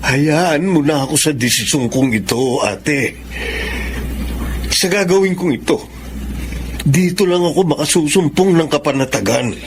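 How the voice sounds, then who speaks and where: A man's voice: fairly high pitch, animated, measured pace, long pauses. A man with a Filipino accent playing a troubled, evasive middle-aged widowed father in a radio drama. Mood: despairing. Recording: clear background noise.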